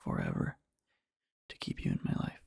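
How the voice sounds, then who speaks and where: Guy doing a sleepy loving boyfriend asmr, soft mellow husky whisper, slow and halting, dry close mic. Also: no echo, lightly acted. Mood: tender, adoring. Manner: slow, halting, rambling, tender. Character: devoted adoring sleepy boyfriend murmuring tenderly in bed. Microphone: close-up microphone, dry.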